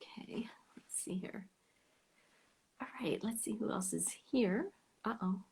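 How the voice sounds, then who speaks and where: A woman's voice: medium pitch, measured pace, gravelly. American lady hosting a live stream on a video call, a bit hesitant, slips into whispers now and then. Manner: chatty, hesitant, trails off into soft whispers. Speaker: fan club live stream host. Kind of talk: casual live-stream chat.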